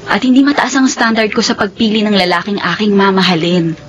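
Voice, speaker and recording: A woman playing a gentle young city woman who longs for a simple life, in a Filipino radio drama. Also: medium pitch, a little fast, close to an everyday voice. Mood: friendly, curious.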